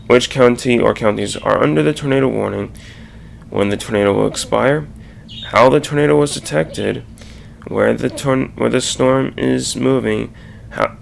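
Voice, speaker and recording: Gravelly man presenting, halting delivery. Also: lowish voice, slight background noise. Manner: halting, stumbles and restarts mid-sentence. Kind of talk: informal slide presentation, explanatory.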